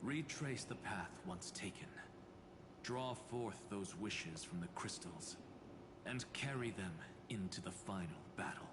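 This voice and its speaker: An actor playing a grave, weary male guardian in a video game, speaking in a hushed, solemn and poetic way. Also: on the low side, measured pace, noisy background, lightly acted. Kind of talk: dramatic video game voice acting.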